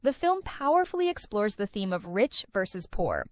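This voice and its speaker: A woman's voice: mid-range voice, sing-song, normal speed, very noisy. A woman narrating a countdown of film and series recommendations in an enthusiastic, recommending tone. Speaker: video host. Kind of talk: scripted list-video narration.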